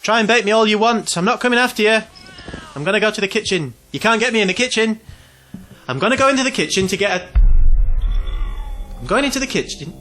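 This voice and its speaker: A man's voice: sits high, animated, fast, long pauses, bright, forceful tone, soft background music. An actor playing an anxious, self-pitying man in his twenties, hesitant and rambling, in an audio drama. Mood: wry, downcast. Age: 20s.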